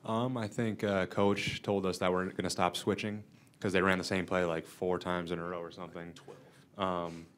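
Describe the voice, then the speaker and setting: A young man, a college basketball player, giving brief, low-key answers with some hesitation at a postgame press conference into a table mic. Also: level tone, moderate pace, a bit bright. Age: young adult. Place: press conference room with sponsor backdrop. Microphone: table mic in front.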